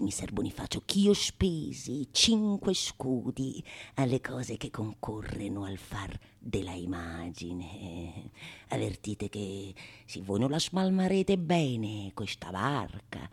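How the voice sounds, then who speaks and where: A sly conjurer acted by a woman peddles spells in a theatrical radio play. The voice sits low and hushed, drawing words out slowly with coaxing insinuation. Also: big pitch swings, slightly rough, heavily acted. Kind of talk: stage monologue radio drama.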